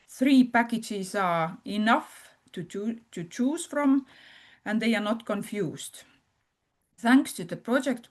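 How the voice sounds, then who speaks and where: A woman gives a prepared presentation on a webinar as a guest speaker. Her voice has an average pitch for a woman. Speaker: winery representative, guest speaker.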